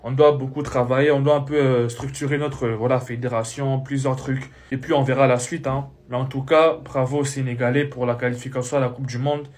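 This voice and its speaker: Man, mid-pitched, loose repetitive reaction talk with lots of fillers. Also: level tone, brisk, hardly any pauses, a little mellow. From DR Congo. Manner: repetitive, leans on fillers like "voilà" and "hein". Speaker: football reaction creator.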